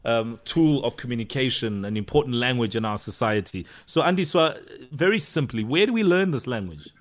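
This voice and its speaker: A man's voice: mid-range voice, animated, a little fast. A man hosting a casual interview, asking conversational questions and affirming the guest with many fillers. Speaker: host.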